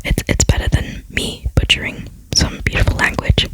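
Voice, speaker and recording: A young adult chatting casually in a whisper, ASMR-style, in a rambling, self-deprecating way as a video creator. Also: normal speed.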